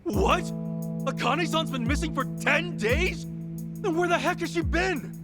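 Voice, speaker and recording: An actor playing an earnest, hot-headed, good-hearted middle-aged hero in an English-dubbed video game, expressive and informal. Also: male voice, very high-pitched, measured pace, over loud music. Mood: confused, troubled. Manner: expressive, informal, exclaiming, swearing.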